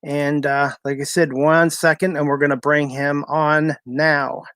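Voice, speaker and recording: A man's voice: a little high, little pitch movement, a little slow, fairly bright tone, smooth, dry recording. Canadian male host, easygoing, filler-heavy, plain dry mic. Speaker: talk show host. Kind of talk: casual live show chat.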